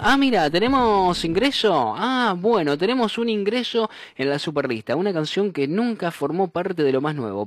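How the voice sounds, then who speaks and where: A playful Argentine radio host with a fairly high voice reads out listener messages and laughs easily between them. Soft music plays behind him. He keeps up a loose, joking chat and counts down the chart. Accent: Argentine Spanish.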